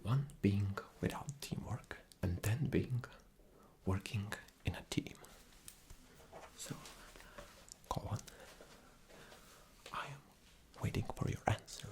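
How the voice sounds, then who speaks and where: A man's voice: on the low side, slow, pauses often, a little mellow. A man doing an ASMR roleplay as an arrogant, impatient, rude boss, with a condescending, demanding manner. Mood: cold, curt. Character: arrogant, impatient, rude male boss interviewing a job applicant.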